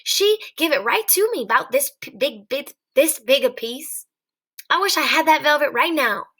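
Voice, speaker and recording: A woman's voice: sits high, expressive, normal speed, fairly bright tone, voice pushed up. A woman voicing a simple, childlike big man who pleads in plain rural speech. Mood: happy. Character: slow gentle giant of a man, childlike.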